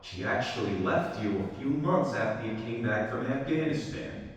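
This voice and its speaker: An accusatory police interrogator, acted by a man in an audio drama. His fairly low voice presses pointedly, distant and swimming in heavy echo. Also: animated, normal speed, lightly acted. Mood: accusing. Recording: distant, heavily echoing hall.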